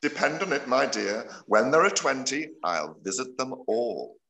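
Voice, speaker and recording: A middle-aged gentleman played by a man teases drily in a mid-pitched voice with plenty of swoop. The delivery is wry period comedy, heard in a roomy, echoing space over a video call. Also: measured pace, creaky, full character voice. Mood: wry, unruffled. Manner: wry, deadpan teasing. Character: dry, teasing middle-aged gentleman husband and father. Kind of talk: play read-through, period comedy.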